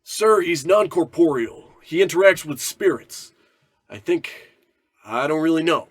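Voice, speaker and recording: Earnest male sergeant, an actor's part, radio comedy. Doubtful, husky voice, slightly high. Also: animated, unhurried, no room sound. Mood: hesitant. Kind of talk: old-time radio comedy acting.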